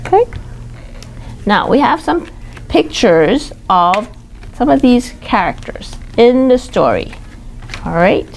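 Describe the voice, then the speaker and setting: A woman leads a read-aloud for small children in a fairly high, highly expressive voice. She points things out, asks questions and explains words in simple terms. There is faint background noise on the recording. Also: slow, creaky, long pauses. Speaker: storytime reader teaching young children.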